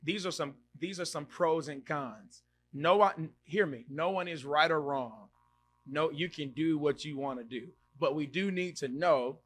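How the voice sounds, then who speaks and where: A podcast host, a man in his mid-thirties, talks casually with a bright, forceful voice pitched a little high. He reacts with loud exclamations, repeats himself and thinks aloud while reading viewer comments. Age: 30s. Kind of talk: casual live-stream chat reading comments.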